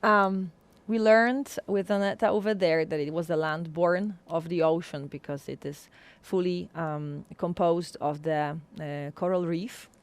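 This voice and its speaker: Female interviewer, Polish accent, lower-pitched voice, teacher hosting guests in conversational English. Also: fairly even intonation, unhurried, few pauses, clear, resonant tone. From Poland.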